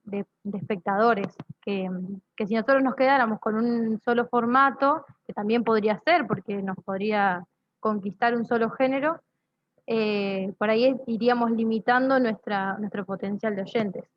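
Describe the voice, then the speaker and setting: A young woman explaining her theatre group's work in a halting, conversational panel style. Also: on the high side, no echo, muffled recording. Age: young adult.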